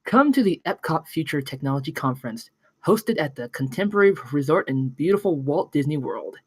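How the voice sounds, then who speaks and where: A woman plays a seventies promo film announcer in a table read. Her voice sits a little low, polished and inviting, with a close, dry sound. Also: expressive, brisk, husky, no room sound.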